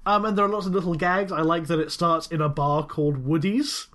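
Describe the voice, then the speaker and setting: A man's voice: a little high, brisk, fairly bright tone. A man co-hosting a podcast in casual chat, making dry quips and wordplay between many ums and stammers. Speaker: podcast co-host.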